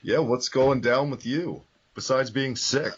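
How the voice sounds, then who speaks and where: A man's voice: mid-range voice, a little slow. A man hosting a casual podcast, joking and rambling, a little under the weather. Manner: rambling, joking, self-correcting. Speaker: podcast host. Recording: dull lo-fi podcast audio.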